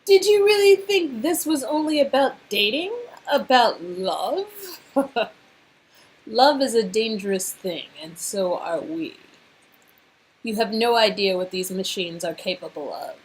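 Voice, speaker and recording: Woman playing a menacing female game villain, high husky voice, contemptuous, threatening. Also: expressive, unhurried, rough recording. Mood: scornful, smirking.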